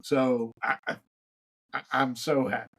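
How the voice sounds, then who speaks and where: A man's voice: mid-range voice, level tone, a little slow, fairly bright tone, slightly rough. A man co-hosting a podcast, chatting casually with his co-hosts and introducing a guest, often stumbling over and repeating words. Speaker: podcast co-host. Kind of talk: casual podcast banter and interviewing.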